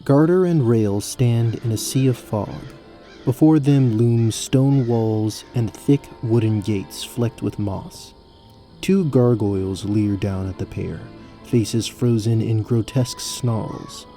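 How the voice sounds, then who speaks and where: A man narrating a scripted fantasy tabletop story as game master in a measured, descriptive way. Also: mid-range voice, dark tone, faint music behind.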